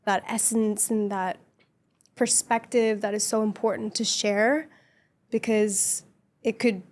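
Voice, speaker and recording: A woman guest on a podcast, speaking in a soft, gentle voice in an earnest, reflective, casual conversation. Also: medium pitch, steady tone, slow pace. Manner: earnest, reflective chat with frequent laughs.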